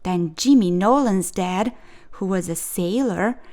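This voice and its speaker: A woman reading a children's picture book aloud as a storyteller, with expressive, clearly phrased narration. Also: mid-range voice, slow.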